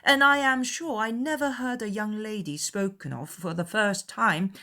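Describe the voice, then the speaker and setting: A woman voicing a cheerful, easygoing, excitable young gentleman in a dramatized period novel. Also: medium pitch, normal speed, pitched above the performer's own voice. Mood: breezy, amiable.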